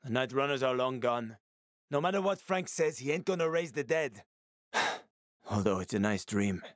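A man performs a hardened male video game survivor with a medium-pitched voice. He sounds worn by the world, sardonic and streetwise, with menace never far off. The delivery is dramatic and the sound is close and dry, free of room. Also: lively intonation, normal speed, no echo, close to an everyday voice. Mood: weary, regretful. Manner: gritty, sardonic, foul-mouthed.

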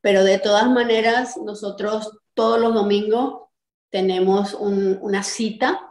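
A woman's voice: mid-range voice, fairly even intonation, unhurried, a little mellow. A middle-aged Cuban American woman from Miami answering interview questions conversationally over a video call in an echoey room. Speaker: interview guest, artist and activist. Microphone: video call, sitting back from the camera.

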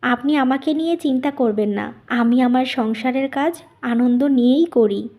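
A woman acts out a gentle-hearted young daughter-in-law for a cartoon tale. The voice is very high, and she comes across as kind and dutiful. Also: normal speed, highs cut off. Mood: caring. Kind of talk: Bengali cartoon story dubbing.

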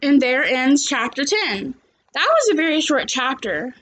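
High-pitched young woman reading to kids. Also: very animated, unhurried, fairly bright tone, low-quality recording. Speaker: teacher reading a book aloud to students. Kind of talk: read-aloud storytelling with chat to children. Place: small living room at home, indoors.

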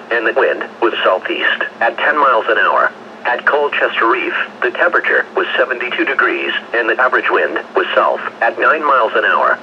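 A man's synthetic, measured, impersonal voice reading a scripted forecast as a weather radio announcer. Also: medium pitch. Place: radio speaker picked up by camera in room. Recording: dull lo-fi weather radio, noisy.

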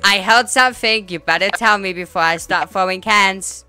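A woman voicing a cheeky, excitable young son in a family roleplay game. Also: mid-range voice, normal speed, smooth, soft background music, voice pushed up, natural voice. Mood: sassy.

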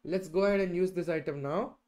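A middle-aged man with a slightly high voice teaches a software tutorial. He explains step by step and often checks that the listener follows with short tag questions. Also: brisk. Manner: checks in often with "right?" and "okay". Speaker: instructor presenting a software tutorial. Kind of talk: explanatory screen-share tutorial. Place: indoors, at a computer.

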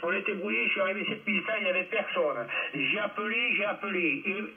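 A man's voice: sits high, fast talker. A man talking as an amateur radio operator in an argumentative exchange, confrontational, coarse and repetitive, over a narrow shortwave link. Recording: muffled, narrow-band shortwave radio transmission.

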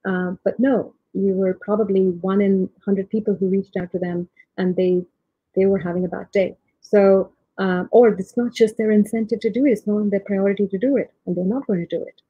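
A soft-spoken woman in her thirties from India gives a reflective leadership talk from home over a video call, with frequent ums and restarts. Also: mid-range voice, fairly even intonation, moderate pace, no echo. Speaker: tech leader giving a conference talk. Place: home room, remote talk. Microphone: laptop or webcam mic, video call. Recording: tinny, husky dry video-call audio.

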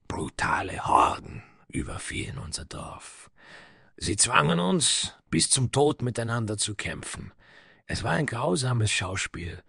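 An actor playing a somber, proud dwarf smith in a fantasy audio drama, grave and dramatic with breathy, hushed phrases and a husky voice. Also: male voice, on the low side, no echo, clean studio sound, full character voice.

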